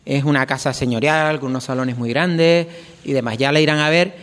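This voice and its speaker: A man explaining plans in a measured, formal way as an interview guest. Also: mid-range voice, a bit bright, in a large hall.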